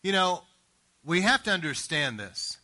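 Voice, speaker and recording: A pastor preaching an earnest, emphatic sermon, often checking in with the congregation. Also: male voice, high-pitched, big pitch swings, unhurried, sharp tone. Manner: earnest, emphatic preaching with frequent "Amen?" asides.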